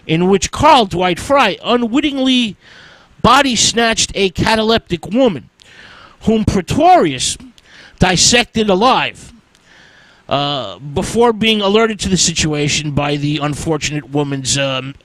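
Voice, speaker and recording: A man talks through film history on a radio show, pausing often on "uh" as he gathers his thoughts. His voice sits high for a man and swings widely in pitch. He speaks at an unhurried pace, close to a dry studio microphone. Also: a bit bright, dry recording, low-quality recording. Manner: frequent "uh" fillers, halting delivery. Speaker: radio host.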